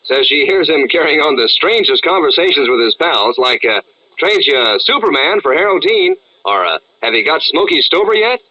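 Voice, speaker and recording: American guy doing old radio ads, very lively chatty salesman, lo-fi sound. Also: on the high side, moderate pace. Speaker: radio commercial announcer. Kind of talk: 1940s radio ad read, folksy. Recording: dull vintage radio sound.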